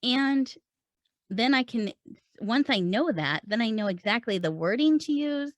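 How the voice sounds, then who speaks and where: A woman's voice: fairly high pitch, animated, a little slow, no room sound. A woman podcast host giving a conversational, informal lesson, explaining things step by step and posing questions to her listeners. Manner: informal, explanatory, asks and answers own questions. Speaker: podcast host and writing coach. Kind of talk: conversational instructional monologue.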